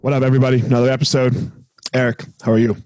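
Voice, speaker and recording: A man hosting a podcast, talking in an energetic, loose and slangy casual way. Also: medium pitch, racing, dry recording. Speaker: podcast host.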